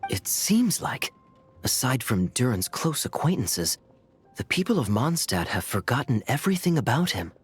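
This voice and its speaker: An actress voicing a serious, analytical young advisor in a video game, articulate and thoughtful. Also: female voice, rumbling low, very animated. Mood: grave, thoughtful. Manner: measured, thoughtful. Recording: background music under voice.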